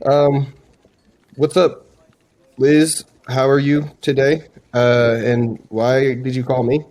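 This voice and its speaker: A man's voice: medium pitch, little pitch movement, slow, a little mellow. A man chatting informally as he presents and explains a demo, conversational and unscripted. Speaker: presenter demonstrating a voice AI. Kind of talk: casual chat, explaining a demo.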